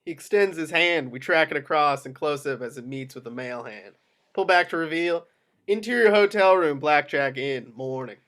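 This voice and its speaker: A man's voice: on the high side, expressive, normal speed, fairly bright tone. A man narrating screenplay action in clipped descriptive phrases at an amateur table read. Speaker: narrator reading stage directions.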